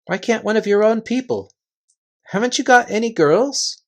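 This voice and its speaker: A man voicing a brave, earnest young girl in a storybook, soft-spoken and resolute. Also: high voice, moderate pace, voice pushed up. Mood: questioning.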